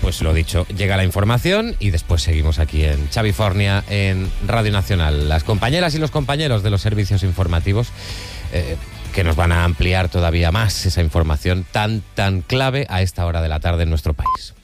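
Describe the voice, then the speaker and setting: A man's voice: sits low, expressive, normal speed, faint music behind. A man hosting a live radio show from the studio, bantering with his co-host and handing over to the news.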